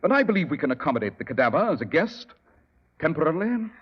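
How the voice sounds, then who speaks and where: A man's voice: a little high, sing-song, fast talker. An actor playing a sardonic, precise male detective in a 1940s radio drama, on a dull old broadcast recording. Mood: sardonic, brisk. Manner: crisp, sardonic, elaborate diction.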